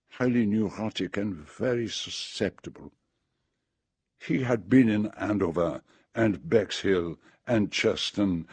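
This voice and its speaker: An actor playing a fussy, shrewd older detective with a Belgian French accent in a radio drama, speaking precisely and deliberately. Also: male voice, lowish voice, darkish tone, breathy edge, no echo.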